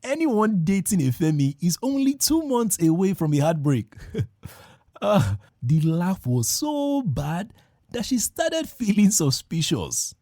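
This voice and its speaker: A man, hosting a podcast and telling a comic story in a playful, animated way, acting out the dialogue. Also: high-pitched, slow pace, dry recording, studio quality. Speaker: podcast host.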